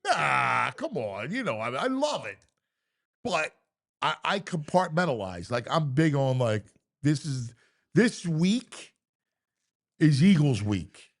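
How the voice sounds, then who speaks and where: Middle-aged Philly sports-radio host, lively delivery, stammers and restarts, ribbing his co-host. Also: a little high, takes it slowly, gravelly, pauses often. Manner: animated, stammering restarts, bantering. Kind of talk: casual sports talk banter.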